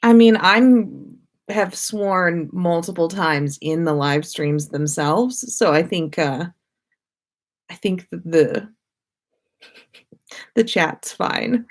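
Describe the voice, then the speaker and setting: A woman's voice: on the low side, lively intonation, takes it slowly, long pauses. A woman hosting a casual livestream, chatting informally and greeting her listeners. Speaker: livestream host and podcaster.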